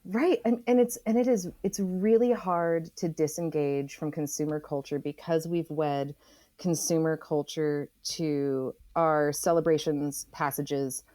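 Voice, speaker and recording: A woman's voice: low-pitched, fairly even intonation, measured pace, warm tone, no room sound. A woman speaking as a guest author in a thoughtful podcast interview, often repeating words as she forms her thoughts.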